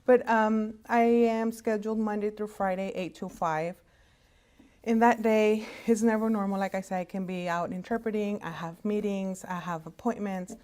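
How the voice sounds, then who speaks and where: A woman whose first language is Spanish, giving a casual career talk to students in a conversational, halting way, over a video call. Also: mid-range voice, fairly even intonation, a little mellow. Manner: conversational, halting with frequent fillers and restarts. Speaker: hospital interpreter services director. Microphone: video call setup.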